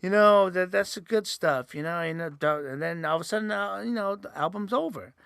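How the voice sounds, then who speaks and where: A man talks loosely and casually, with frequent fillers and restarts. His voice is bright and gravelly, with a slightly nasal edge. It sits fairly high for a man and is recorded dry and close. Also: a little fast, dry recording. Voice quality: says he sometimes sounds nasal. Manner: rambling, many fillers and restarts. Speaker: host. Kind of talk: casual solo review podcast.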